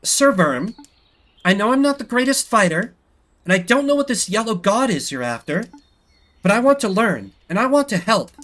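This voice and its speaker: A man's voice: high voice, very animated, moderate pace, fairly bright tone, voice pushed up. A man voicing an earnest, eager young female mouse who pleads to tag along in a video game.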